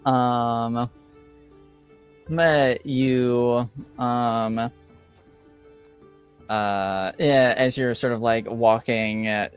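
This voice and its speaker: A man improvising narration as game master of a tabletop game, halting and searching for words over music. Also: mid-range voice, fairly even intonation, clean tone. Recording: music playing behind the voice.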